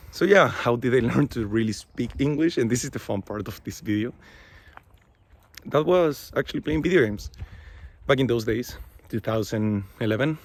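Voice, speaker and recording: A young man from Chile with a Chilean Spanish accent, talking casually in English to his vlog audience and leaning on fillers like "like" and "you know". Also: mid-range voice. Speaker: vlogger. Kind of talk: casual talking-head monologue. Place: outdoors.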